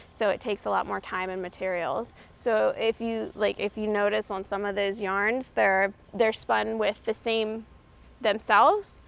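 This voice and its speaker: A woman in her thirties from Maryland, a fiber artist explaining her craft in a relaxed, chatty way with frequent ums. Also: medium pitch, creaky. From Maryland, USA. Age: 30s.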